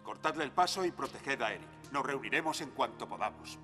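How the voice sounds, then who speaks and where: A man's voice: medium pitch, expressive, brisk, sharp tone, faint music behind. A man voicing a brave, loyal young knight who speaks earnestly and firmly. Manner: earnest, firm. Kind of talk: cartoon dub acting.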